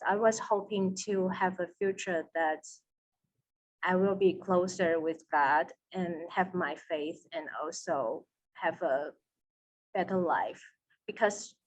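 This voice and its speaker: Slow-spoken woman with a Taiwanese accent. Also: lowish voice, rough recording. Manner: slow and careful, with some non-native grammar. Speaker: seminary student, interview guest. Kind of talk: interview over video call. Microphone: video call.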